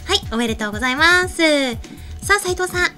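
A woman's voice: high-pitched, animated, brisk, pushed and bright. A young woman co-hosting an anime web show, speaking politely and moving between casual chat and announcements. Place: small indoor studio at a desk. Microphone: desk microphone. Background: faint music.

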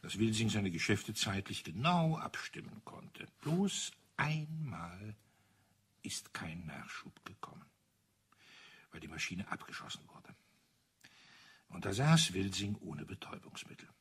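An actor playing an old retired officer in a crime radio play, telling things in a deliberate, matter-of-fact, bitter way with a husky voice. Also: male voice, on the low side, expressive, fairly bright tone, in a whisper, heavily acted. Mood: grim, restrained. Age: older.

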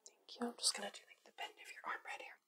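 A young woman whispering in an ASMR medical roleplay, giving hushed, soft instructions step by step as an examiner. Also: rapid-fire. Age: young adult. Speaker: ASMR performer role-playing a medical examiner. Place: small indoor studio with dark backdrop.

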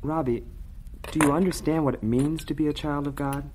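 A man playing a patient, devout older farm brother in a vintage radio drama, gentle and sincere. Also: fairly high pitch, steady tone, brisk, slight background noise, lightly acted.

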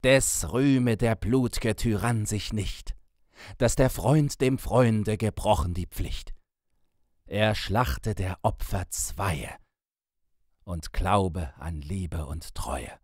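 A man's voice: fairly low pitch, extremely slow, darkish tone, no echo, clean studio sound, pitched above the performer's own voice. A man voicing a desperate, loyal man who pleads for his friend's life in impassioned, declamatory cries from a classic ballad. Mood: defiant, resolute.

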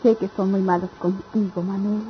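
An actress playing a dutiful, sorrowful young country sweetheart in a radionovela, speaking solemnly and earnestly. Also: female voice, medium pitch, little pitch movement, moderate pace, slightly rough. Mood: tender sorrow. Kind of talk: radionovela acting. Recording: dull, noisy old radio drama recording.

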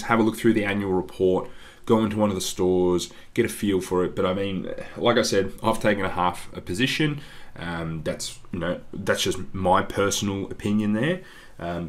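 A very low-voiced man talks through share picks in a loose, chatty way. His voice sounds congested, and he keeps calling his audience "guys" between ums. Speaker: investing channel host. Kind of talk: casual stock analysis over slides. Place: voice-over on screen-share slides.

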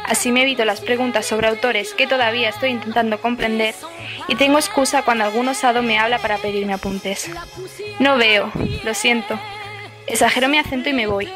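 A woman's voice: a little high, steady tone, a little fast, over music, lightly acted. A young woman playing an aloof French student, narrating with dry sarcasm. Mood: wry. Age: young adult. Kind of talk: scripted voice-over narration.

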